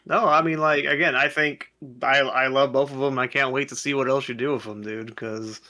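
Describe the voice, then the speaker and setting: A man's voice: medium pitch, fairly even intonation, moderate pace, bright, forceful tone. A man interviewing on a casual stream, chatting in an encouraging, joking and slangy way like a fellow fan.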